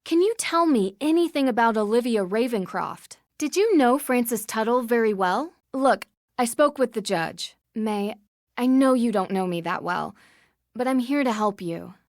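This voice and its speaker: Young female sleuth, American accent, higher pitch, dry close sound, polite, probing, earnest, video game dialogue. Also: lively intonation, normal speed, no room sound. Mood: earnest, persuasive. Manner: polite, probing, clearly articulated. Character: young female amateur detective, earnest, inquisitive, persistent.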